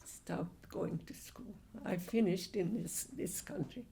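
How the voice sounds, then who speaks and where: An elderly woman in her nineties with a heavily gravelly, creaky voice. She speaks very slowly, pausing and restarting as she answers questions from the audience. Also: lowish voice, mellow, slight background noise. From Hungary. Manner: slow, halting, with frequent restarts. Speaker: Holocaust survivor speaker.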